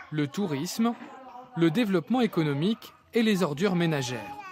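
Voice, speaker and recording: High voiced guy reporter, scripted voiceover, faint noise behind him. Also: darkish tone. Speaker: TV news reporter.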